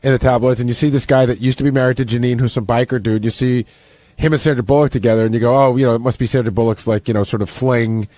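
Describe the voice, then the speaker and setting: Fast-talking man, casual radio chat. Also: mid-range voice, fairly even intonation, mellow. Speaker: radio show staffer and commentator.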